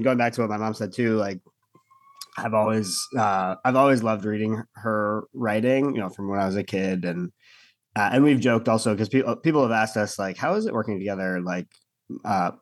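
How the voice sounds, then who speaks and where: A young man from Michigan, a guest in a radio interview, who talks hesitantly and fills his sentences with fillers. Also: lowish voice.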